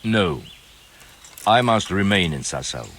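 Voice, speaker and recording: An actor playing a grim, guarded, world-weary knight with a formal, measured and ominous way of speaking, in a video game. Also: male voice, low voice, very animated, a bit bright, slight background noise, exaggerated voice. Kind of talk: dramatic video game acting. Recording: music behind the voice.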